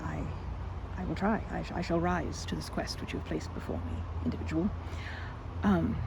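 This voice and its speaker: A woman's voice: lowish voice, normal speed, creaky, background noise. A woman playing a timid, nervous young woman in an ASMR roleplay, stammering, repeating words and rambling in quirky, formal phrasing. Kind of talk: ASMR roleplay, one-sided audio drama.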